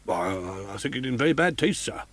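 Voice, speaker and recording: A man's voice: mid-range voice, very animated, fairly quick, fairly bright tone, dry recording. A man voicing a nervous male theatre inspector who splutters and stammers through his explanations. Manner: hesitant, stammering.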